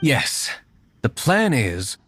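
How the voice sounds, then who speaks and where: A man playing an aloof, solemn young nobleman in a video game, speaking in a formal, measured, stoic way. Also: mid-range voice, huge pitch swings, a bit bright, slight background noise, exaggerated voice. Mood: composed. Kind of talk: anime-style video game voice acting. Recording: music behind the voice. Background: game music with plucked strings.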